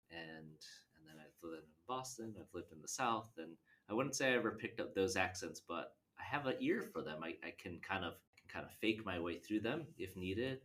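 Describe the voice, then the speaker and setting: A man from Canada answering interview questions in a halting, thoughtful way. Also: sits low, fairly bright tone, a little hiss or hum. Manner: halting, thoughtful, many restarts.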